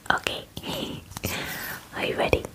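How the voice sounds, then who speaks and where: A woman in her twenties speaking in a soft, breathy whisper close to the mic, gently and soothingly playing an attentive spa groomer in an ASMR roleplay, often repeating words. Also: takes it slowly. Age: 20s. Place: small dim room, indoors. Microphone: very close microphone, facing camera.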